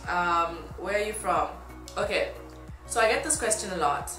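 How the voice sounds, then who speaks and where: A woman's voice: lowish voice, lively intonation, moderate pace, a bit bright. A woman in her twenties from Tanzania chatting casually to camera in an upbeat, informal way. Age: 20s. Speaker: fashion blogger. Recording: roomy echo, music behind. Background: music.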